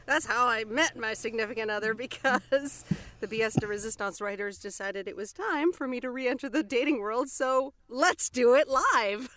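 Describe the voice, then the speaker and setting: Fairly high-voiced woman chatting on a podcast. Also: moderate pace, a bit bright. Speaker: podcast cast member. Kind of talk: casual anecdote and banter.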